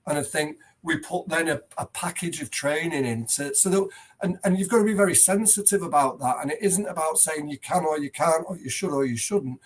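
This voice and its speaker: A man's voice: a little high, brisk, a little hiss or hum. A middle-aged headteacher, a man, speaking earnestly and emphatically as a guest in a conversational interview, often restarting his sentences. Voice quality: loud voice.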